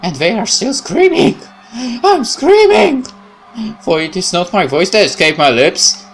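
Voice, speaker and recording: A male gaming host reads a horror visual novel aloud. His delivery is dramatic and very animated, prone to exclaiming, over a bed of soft music. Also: high voice, unhurried. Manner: dramatic, expressive, often exclaiming. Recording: music behind the voice.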